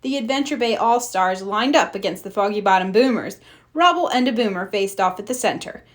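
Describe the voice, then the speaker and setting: A woman reading a children's storybook aloud as narrator, in an expressive read-aloud style. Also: mid-range voice, fairly quick. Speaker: storybook reader.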